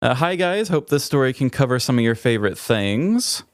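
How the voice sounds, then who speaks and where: A man's voice: medium pitch, sing-song, moderate pace, no echo, clean studio sound. A man with an American accent co-hosts a podcast, bantering casually and telling stories. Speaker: podcast co-host.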